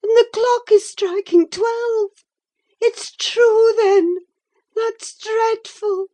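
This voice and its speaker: A woman reading an audiobook, voicing a delirious, frightened young woman in a high, breathless, anxious voice. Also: slow, warm tone. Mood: terrified. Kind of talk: audiobook character voice.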